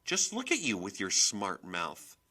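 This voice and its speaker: A man voicing a volatile, domineering mother in a storybook reading, with a sharp, scolding, emphatic manner. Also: on the low side, huge pitch swings, unhurried, full character voice. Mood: angry, bossy.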